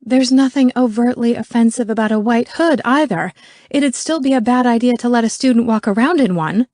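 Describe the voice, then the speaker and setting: A woman's voice: medium pitch, moderate pace, no echo, lightly acted. A woman voicing an indignant, argumentative female teacher firing emphatic retorts in an audiobook.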